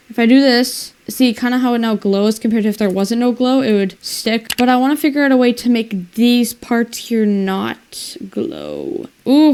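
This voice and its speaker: A woman's voice: on the high side, darkish tone. A woman narrating her video editing in a casual, rambling way, thinking aloud and exclaiming to herself. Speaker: video editor and creator narrating her screen work.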